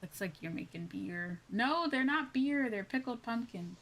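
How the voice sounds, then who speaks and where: A woman livestreamer chatting casually while she plays, sometimes musing aloud in a drawn-out, sing-song way. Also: on the high side, animated, a bit bright. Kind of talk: casual game-stream chat. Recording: music behind. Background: game music.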